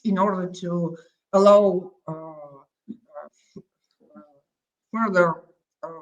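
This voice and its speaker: A woman from Bulgaria with a Bulgarian accent presents a project as its coordinator, in a halting, emphatic way that repeats words. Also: medium pitch, poor audio. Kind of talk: conference presentation.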